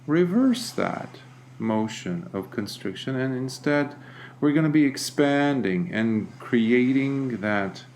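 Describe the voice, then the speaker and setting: A man leading a guided meditation as a teacher, speaking gently and deliberately with long pauses. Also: mid-range voice, expressive, a little hiss or hum.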